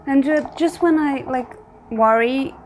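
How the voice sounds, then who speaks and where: Higher-voiced woman giving casual commentary. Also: a little slow, over music. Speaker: reaction video host.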